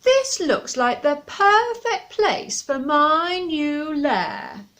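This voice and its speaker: A woman voicing a huge, stubborn male dragon who speaks loudly and slowly with snarling threats. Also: high-pitched, very animated, voice pushed up, full character voice. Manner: loud, slow, growling threats.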